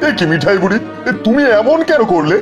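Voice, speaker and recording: A man plays a cartoon king who settles a dispute in a measured, commanding way. His voice sits fairly high for a man. Music plays beneath him. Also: sing-song. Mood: judging. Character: king judging a quarrel, adult man. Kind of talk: cartoon voice acting. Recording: music under voice.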